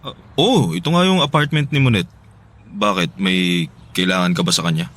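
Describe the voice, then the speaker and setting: A man's voice: sits low, moderate pace, a bit bright. A male actor playing a casual, unbothered lover in a radio drama.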